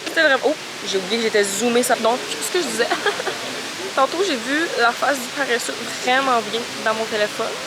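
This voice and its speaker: A young woman with a Québécois accent and a head cold, chatting in a bubbly way for her travel vlog, outdoors on a phone. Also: on the high side. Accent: Québécois French. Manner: bubbly, gushing, quick to laugh. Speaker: travel vlogger. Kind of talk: casual travel vlog chat. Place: outdoors in a mountain valley. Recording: loud outdoor noise.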